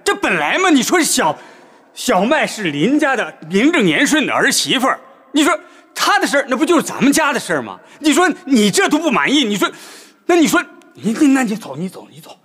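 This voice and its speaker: A flustered middle-aged father. His husky voice stammers and halts, while his pitch swings widely in a family TV drama. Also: wildly swooping pitch, a bit bright, heavily acted. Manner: stammering, halting. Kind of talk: TV drama acting.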